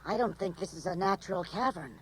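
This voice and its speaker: A woman's voice: fairly low pitch, fast, lightly acted. An actress playing an anxious, questioning woman on an alien expedition, in a dramatic 1980s radio drama style. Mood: wary. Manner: dramatic, theatrical. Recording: noisy radio drama recording.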